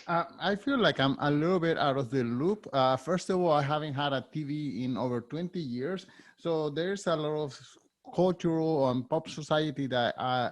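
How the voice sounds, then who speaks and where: A man in his fifties with a Spanish accent, hosting an interview and asking curious, candid questions with a slightly halting delivery. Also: a little high. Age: 50s. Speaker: podcast host.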